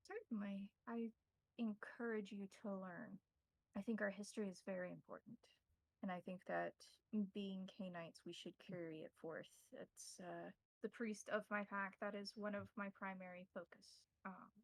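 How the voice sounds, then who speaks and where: A woman's voice: mid-range voice, level tone, vocal fry, no echo, natural voice, poor audio. A woman voicing a courtly, devout vampire priest who tutors newcomers formally in a tabletop game. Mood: solemn, instructive. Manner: formal, measured, instructive.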